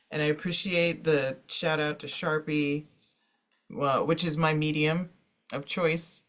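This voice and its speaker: A man's voice: mid-range voice, a bit bright. A man speaking as a panelist in an informal online presentation, in a casual, conversational way. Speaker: panelist and former teacher.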